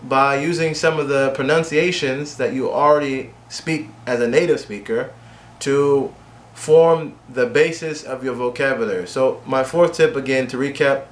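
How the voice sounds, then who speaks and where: A man's voice: mid-range voice, steady tone, moderate pace. Man coaching English learners, echoey room. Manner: direct, repetitive coaching with frequent "okay?" checks. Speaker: English teacher. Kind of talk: instructional video lesson. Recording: echoey room with faint ambient noise.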